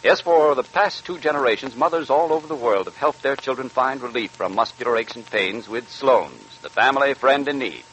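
A man's voice: mid-range voice, animated, fairly quick, few pauses, fairly bright tone, slight background noise, low-quality recording. An American man announcing on 1940s radio in a polished, scripted advertising style. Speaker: radio announcer reading a sponsor ad.

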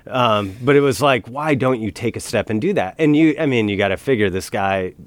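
A man's voice: mid-range voice, fairly quick. A middle-aged man in casual podcast talk, earnest and emphatic, pressing his points with conviction.

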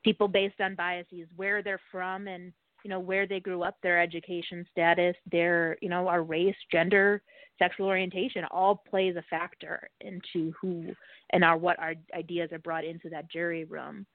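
A woman speaking as an interview guest, giving conversational commentary in an earnest, halting way. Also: lowish voice, level tone, no room sound. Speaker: podcast co-host as interview guest. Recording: dry, narrow phone-line sound.

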